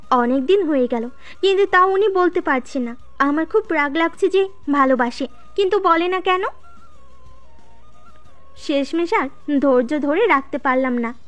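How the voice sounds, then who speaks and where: A woman's voice: sits high, fairly bright tone. A woman playing a shy, easily piqued student who narrates her own story in a scripted audio drama. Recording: music behind the voice.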